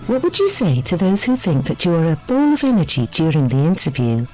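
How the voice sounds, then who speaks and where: A woman interviewer reading out scripted questions in a measured way. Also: low voice, huge pitch swings, warm tone, soft background music.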